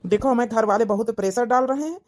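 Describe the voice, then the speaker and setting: A woman's voice: a little high, quick pace, darkish tone, lightly acted. A woman playing a wounded, pressing young village suitor in a cartoon drama, pleading insistently with an Awadhi accent. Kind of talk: cartoon comedy-drama dialogue in village dialect.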